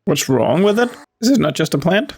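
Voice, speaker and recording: A woman's voice: on the low side, sing-song, brisk, a little mellow. A woman giving casual commentary while she plays a video game. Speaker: gameplay streamer.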